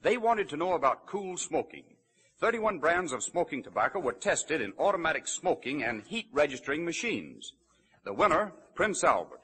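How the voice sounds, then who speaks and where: A man working as a 1930s radio announcer and sponsor pitchman, reading scripted copy on a vintage broadcast. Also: sing-song, normal speed, fairly bright tone, husky.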